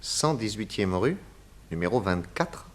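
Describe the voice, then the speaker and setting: Lively, low-voiced, shrewd detective. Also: male voice, unhurried. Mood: businesslike, probing. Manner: inquisitive, wry, casually insistent. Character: shrewd, probing male police detective. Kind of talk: 1960s French radio drama acting.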